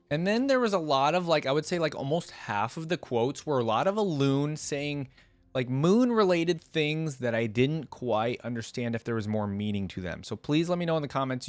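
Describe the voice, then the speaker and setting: A man's voice: medium pitch, no echo. Man musing casually, close dry sound. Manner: rambling, musing, often posing questions. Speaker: reaction channel host. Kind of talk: casual unscripted reaction commentary addressed to viewers.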